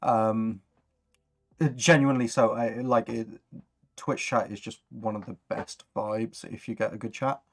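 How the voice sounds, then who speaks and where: A man's voice: fairly low pitch, steady tone, measured pace. A man chatting casually and encouragingly as he hosts a worldbuilding livestream. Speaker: stream host.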